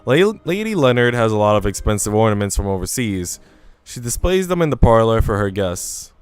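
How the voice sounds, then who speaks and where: Man reading as a haughty, clear-voiced young woman. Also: fairly low pitch, very animated, a little fast, dry recording, faint music behind. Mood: knowing, dismissive. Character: haughty, dismissive young woman, quick to judge.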